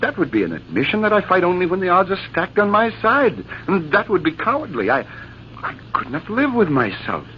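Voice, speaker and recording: Proud duelist captain, highish voice, declamatory 1940s radio delivery, dull noisy sound. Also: male voice, wildly swooping pitch, fairly quick. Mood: tender, anguished. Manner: theatrical, formal, declamatory. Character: proud army captain and skilled duelist, anguished, honor-bound. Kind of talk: 1940s radio drama acting. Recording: muffled, noisy old radio broadcast.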